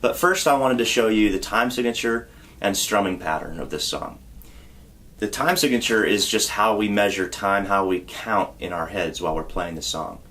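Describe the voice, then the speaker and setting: A man teaching a song on guitar, explaining step by step in a patient, relaxed way and counting beats aloud. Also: lowish voice, normal speed. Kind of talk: guitar tutorial. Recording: loud guitar under the voice. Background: acoustic guitar playing.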